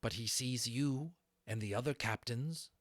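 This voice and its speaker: Loyal storybook dragon, played by a man; thoughtful, earnest, questioning, lifted pitch. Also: a little slow, dry recording, clean studio sound, close to an everyday voice. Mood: earnest devotion.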